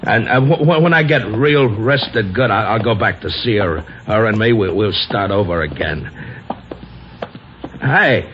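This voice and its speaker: A man's voice: lowish voice, expressive, unhurried. An actor in an old-time radio drama playing a weary, broken, childlike man who stammers and repeats himself. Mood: pleading, insistent. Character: dazed, broken, lovesick man, slow-witted and childlike. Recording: old muffled radio recording with noise.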